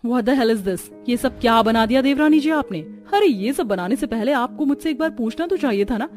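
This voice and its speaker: A woman's voice: a little high, lively intonation, fairly quick, a little mellow, faint music behind, lightly acted. A woman voicing a snobbish, irritable city sister-in-law in a cartoon, scornful and mixing English into her Hindi. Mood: indignant.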